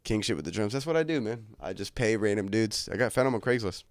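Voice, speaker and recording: A man's voice: fairly low pitch, lively intonation, fast talker, no room sound, clean studio sound. A young man hosting a casual livestream from his bedroom, chatting in a laid-back, crude, joking way, slightly congested from a cold. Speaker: livestream host.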